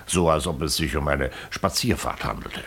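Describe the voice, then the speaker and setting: An actor playing a shrewd legal official in a radio drama, authoritative, impatient and worldly. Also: male voice, extremely low pitch, animated, moderate pace, vocal fry, fairly bright tone, breathy edge, heavily acted. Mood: grave, superior. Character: shrewd, commanding male legal official investigating a crime. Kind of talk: German radio drama acting.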